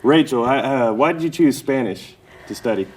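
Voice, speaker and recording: Man, interview host, studio, friendly conversational questions, medium pitch. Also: animated, moderate pace, slightly rough.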